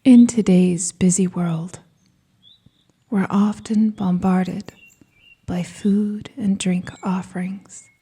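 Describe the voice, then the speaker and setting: A woman with a soft, breathy, whispery voice guiding a scripted meditation in a soothing, gentle manner with deliberate pauses. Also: mid-range voice. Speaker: hypnosis and meditation guide.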